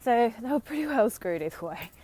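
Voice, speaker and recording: An Australian woman vlogging live on a walk outdoors, slightly hoarse from a sore throat, talking in a chatty, encouraging way with many fillers. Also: on the high side, lively intonation, fairly quick, warm tone. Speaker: vlogger and dating coach. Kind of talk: casual live-stream talk.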